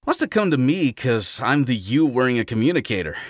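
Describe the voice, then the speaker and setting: A man's voice: mid-range voice, big pitch swings, a little fast, dry recording, studio quality. A man voicing an eager, friendly young male hedgehog in a fan audio drama, easygoing and upbeat.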